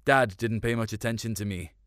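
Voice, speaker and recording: A man's voice: fairly low pitch, fairly quick, a bit bright, clear, resonant tone, dry recording. A man reads a first-person story. His delivery is colloquial and confiding, like someone recounting events. The sound is dry, with very little room around the voice. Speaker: short story reader.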